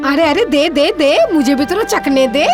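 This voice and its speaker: Woman voicing a very high, teasing cartoon busybody. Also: expressive, moderate pace, music in the background. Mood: teasing, pushy. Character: nosy, teasing female acquaintance. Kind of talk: animated cartoon dialogue.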